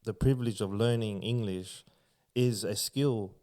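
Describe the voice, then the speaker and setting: A man with an Australian accent, chatting in a relaxed, rambling way as a podcast host. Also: lowish voice, measured pace. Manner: relaxed, rambling, uses filler phrases. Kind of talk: casual chat.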